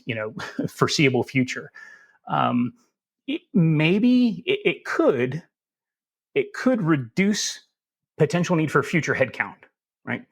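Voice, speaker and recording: A man with a Southern US accent, answering interview questions in a relaxed, folksy and self-deprecating way. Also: mid-range voice. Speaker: security instructor as guest.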